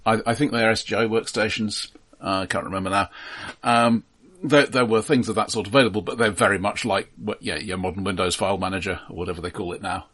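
A man's voice: on the low side, steady tone, quick pace, fairly bright tone. A man co-hosting a film podcast in casual discussion, analytical and often stammering over how sentences start.

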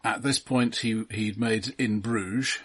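Male co-host, lower pitch, rough husky tone, measured explanatory delivery for casual film talk. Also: steady tone, a bit bright. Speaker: podcast co-host.

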